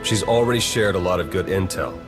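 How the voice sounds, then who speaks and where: Low-voiced wry spy, music behind. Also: male voice, expressive, brisk. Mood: matter-of-fact. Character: wry, pragmatic male spy agent, a young adult. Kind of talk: video game voice acting. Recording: loud game music underneath.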